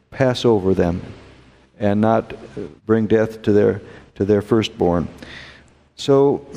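American man, mellow low voice, preacher teaching a Bible lesson in a reverberant hall; unhurried, asks rhetorical questions. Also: gravelly. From United States. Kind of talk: teaching a Bible study class.

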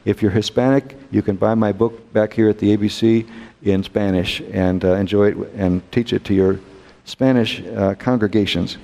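An American man teaching a Bible study class, unhurried, posing questions to his listeners and often clearing his throat. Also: fairly low pitch, fairly even intonation, dark tone. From United States. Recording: long hall reverb.

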